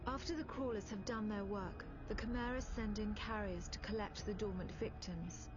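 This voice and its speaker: A woman playing a composed officer who recounts a war in measured, grave, matter-of-fact scripted narration. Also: mid-range voice, muffled recording, natural voice. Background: clear background music.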